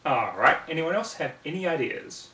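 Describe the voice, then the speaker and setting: A man's voice: on the high side, animated, moderate pace, bright, forceful tone, voice pushed up. Storybook filly pony, read by a man: eager young ringleader, brash and bossy, recorded echoey from off the mic. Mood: eager, brisk. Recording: roomy, distant microphone in home room.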